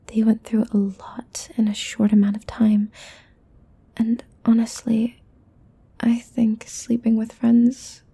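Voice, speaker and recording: A woman voicing a playful, possessive demon in a soft, breathy, coaxing whisper for an ASMR roleplay. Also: a little high, heavily acted. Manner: slow, coaxing, teasing.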